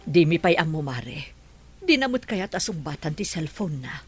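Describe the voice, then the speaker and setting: A woman's voice: sits low, big pitch swings, moderate pace, husky, heavily acted. A woman acting an anxious mother in an Ilocano radio drama, emphatic and worried.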